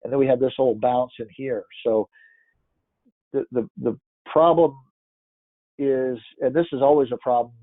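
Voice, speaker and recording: A congested man walks through market charts in a loose, rambling way, restarting his sentences as he thinks aloud. His voice sits at an ordinary middle pitch. The audio is thin and phone-like, with no room echo at all. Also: fairly even intonation, normal speed, pauses often. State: congested, stuffy-nosed. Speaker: market analyst. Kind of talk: casual chart-by-chart market commentary. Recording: tinny phone-like dry audio.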